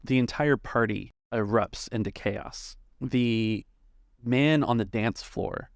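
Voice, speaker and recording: A man working as game master, narrating a tabletop game off the cuff in measured, halting phrases. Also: medium pitch, dry recording, studio quality.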